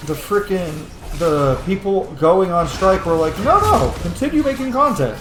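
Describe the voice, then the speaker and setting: A man's voice: sits high, big pitch swings, moderate pace. Man rambling through game commentary, roomy echo. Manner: rambling asides, playful exclamations to game characters. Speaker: gaming streamer and content creator. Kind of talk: casual let's play commentary. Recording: roomy echo under loud game music. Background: video game music.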